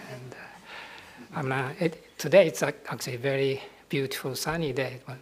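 A middle-aged Japanese man speaks with a Japanese accent in a gentle, husky voice. As a Buddhist monk giving a ceremonial talk, he searches for his words with frequent pauses and soft hesitations. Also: medium pitch, steady tone, background noise. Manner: soft-spoken, hesitant, with many pauses and fillers.

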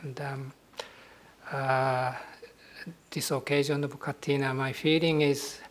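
A middle-aged man from Japan with a Japanese accent, a Buddhist monk giving a dharma talk at a ceremony in a soft, husky voice, pausing often and hesitating over his words. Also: medium pitch, level tone. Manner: soft-spoken, hesitant, with many pauses and fillers.

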